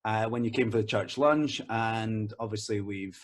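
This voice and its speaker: A man's voice: on the low side, moderate pace. A man interviewing a vicar for his church, asking questions in a loose, rambling way and piling several into one.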